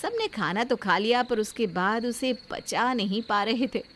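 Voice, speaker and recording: A woman's voice: fairly high pitch, unhurried, fairly bright tone, slight background noise. A woman reading scripted narration for a family story, as its storyteller.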